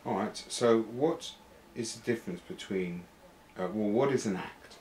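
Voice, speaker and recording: A man's voice: on the low side, unhurried, darkish tone. A man acting as interviewer, putting short plain questions in a training interview. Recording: roomy echo, faint background noise.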